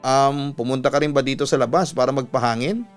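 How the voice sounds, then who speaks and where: A man's voice: medium pitch, fairly quick, clean tone, close to an everyday voice. A breezy young Filipino woman, as a male storyteller puts her on teases playfully in a narrated radio love story. Music plays behind the lines. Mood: curious.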